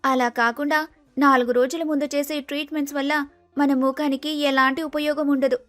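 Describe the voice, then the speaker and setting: A sceptical young woman acted by a female storyteller in a moral tale. Her high voice reasons and lectures sensibly, dry and close over faint music. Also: little pitch movement, a little fast, fairly bright tone, no room sound. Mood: matter-of-fact.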